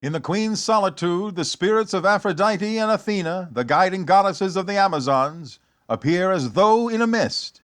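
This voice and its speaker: A man's voice: high-pitched, expressive, moderate pace, few pauses, a bit bright, faint music behind. A man narrating dramatically in the scripted style of a 1940s radio serial, theatrical and suspenseful.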